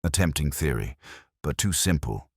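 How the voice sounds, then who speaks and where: Audiobook sleuth, played by a male narrator: extremely low male voice, intense, commanding, deliberate and rhetorical, dry close sound. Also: animated, slightly rough, dry recording, full character voice. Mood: intent. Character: brilliant, intense male detective, commanding and cryptic.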